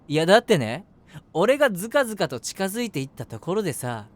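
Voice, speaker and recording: A man's voice: sits high, brisk. A man playing a lovestruck, self-deprecating young man who narrates his crush in a chatty, flustered way in a voice drama. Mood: smitten. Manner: flustered, animated, self-mocking, talking to himself. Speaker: voice actor. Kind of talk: first-person situation voice drama, chatty narration with acted reactions.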